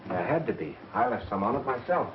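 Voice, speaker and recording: An actor playing a clever, dry, self-assured amateur sleuth with wry retorts, in a 1930s mystery film. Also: male voice, fairly low pitch, sing-song. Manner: wry, brisk deductive retorts. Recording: muffled, hissy 1930s film soundtrack.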